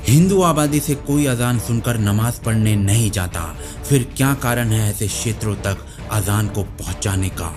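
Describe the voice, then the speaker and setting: A man's voice: on the low side, moderate pace, few pauses, over music. A man presenting a scripted explainer narration.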